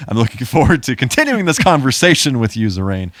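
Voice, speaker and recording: A man's voice: mid-range voice, sing-song, fast talker, no room sound. A man co-hosting a podcast in casual chat, with a jokey, mock-grandiose way of addressing listeners. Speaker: podcast co-host.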